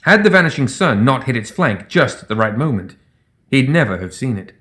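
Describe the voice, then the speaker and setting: Male storyteller, medium pitch, scripted fantasy narration for audio fiction. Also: sing-song, a little fast, bright, forceful tone.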